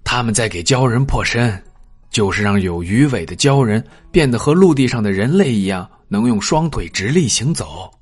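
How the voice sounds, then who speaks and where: A man's voice: medium pitch, expressive, moderate pace, breathy edge. A man playing an anxious, officious, deferential steward of a princely household in an audiobook drama. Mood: explaining.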